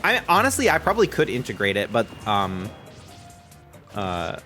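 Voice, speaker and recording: A young man hosting a game stream, giving lively, excitable play-by-play and laughing often with his co-host. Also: on the low side, normal speed, faint music behind. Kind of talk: casual game commentary.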